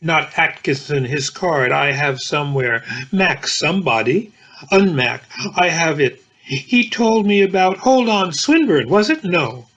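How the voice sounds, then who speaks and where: A man voicing a drunken, impatient Oxford don, muddled and groping for memories, with a husky voice. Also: on the high side, expressive, unhurried, fairly bright tone, heavily acted. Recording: dull lo-fi home recording.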